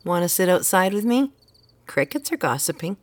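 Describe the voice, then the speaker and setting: Low-voiced nurturing mother. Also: big pitch swings, moderate pace. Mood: gentle, teasing. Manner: nurturing, coaxing, instructive. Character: caring, gently prodding mother of a capricious girl. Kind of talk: naturalistic audio drama acting.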